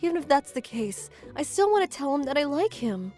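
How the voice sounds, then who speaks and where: Woman acting high, sweet schoolgirl Misaki. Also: big pitch swings, a little fast, darkish tone, soft background music. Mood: earnest resolve. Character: Misaki, sweet earnest lovestruck teenage schoolgirl. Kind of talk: manga dub romcom acting.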